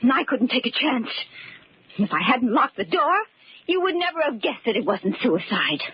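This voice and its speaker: A woman's voice: sits high, very animated, normal speed, breathy edge, no room sound, heavily acted. An actress playing a young woman who is a cornered murderess, hysterical and breathless, in 1940s radio acting on a muffled old radio recording. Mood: defiant.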